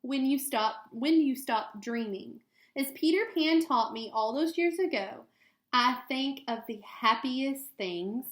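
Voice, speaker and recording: A woman, a teacher, reading a picture book aloud to children in an expressive storytelling way. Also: sits high, unhurried. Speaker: elementary school teacher. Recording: echoey room sound.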